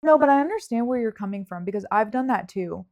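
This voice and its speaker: A woman's voice: on the high side, animated, fast, darkish tone. A woman podcast host in a casual book chat, talking informally with hedges and lots of "like" sprinkled through. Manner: informal, hedging, frequent "like".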